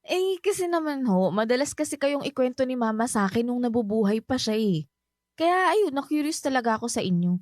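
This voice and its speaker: A woman's voice: a little high, very animated, a little fast, darkish tone, dry recording, studio quality. An actress playing a cheerful, polite young Filipino woman in a radio drama, chatty and respectful toward an older family friend. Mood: chatty, open. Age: young adult.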